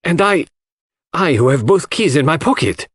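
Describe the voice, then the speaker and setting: A man voicing a grave, courteous foreign detective in an audiobook, speaking in a formal, measured, emphatic way. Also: a little high, lively intonation, husky, no echo, clean studio sound, pitched above the performer's own voice. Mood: astonished. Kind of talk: audiobook character voice.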